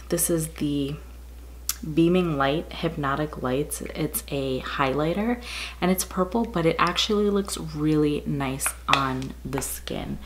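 A low-pitched woman vlogger in her thirties, talking casually through a makeup routine. Her voice is raspy and slightly muffled from a recent cold, and she rambles through each product with plenty of ums. There's a faint hiss under the recording. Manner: chatty, product-by-product rundown with frequent ums. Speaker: beauty vlogger. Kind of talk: casual makeup tutorial chat. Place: bedroom with window blinds, indoors.

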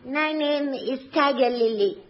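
A woman's voice: high voice, unhurried. A woman playing a seductive, scheming femme fatale in a 1960s radio comedy, with a sultry, melodramatic delivery. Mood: seductive, arch. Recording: dull, slightly noisy old radio, studio audience.

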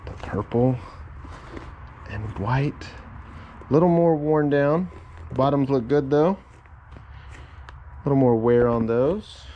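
A man's voice: mid-range voice, lo-fi sound. A man giving casual running commentary as he digs through a storage unit, muttering to himself and cheering at good finds, recorded outdoors on a head-mounted camera. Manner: unhurried, muttering to himself, upbeat when finding items. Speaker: reseller sorting a storage unit. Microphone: head-mounted camera, point of view. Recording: loud background noise.

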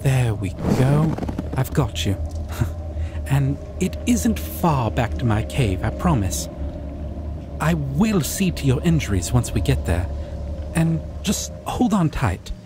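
A man voicing a shy, gentle dragon. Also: mid-range voice, very animated, over music. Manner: soft, reassuring, slow and hesitant. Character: shy gentle male dragon, caring protector. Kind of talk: ASMR roleplay audio drama.

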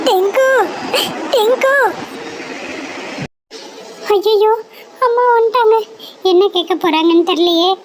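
A woman voicing an anxious, obedient young girl sparrow chick in a cartoon, talking loudly and fretfully. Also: extremely high pitch, slow, long pauses, a little hiss or hum, poor audio. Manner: loud, fretful. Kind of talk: cartoon voice acting.

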